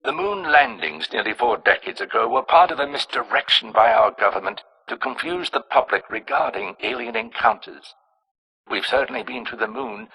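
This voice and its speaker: A learned, disdainful keeper of dark secrets in a video game. His voice is raspy and creaky, with widely swinging intonation. He speaks formally and precisely, with wry distaste. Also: medium pitch, heavily acted. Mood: ominous. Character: erudite, disdainful male insider revealing dark secrets. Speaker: informant character in a video game. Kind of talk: scripted confessional monologue.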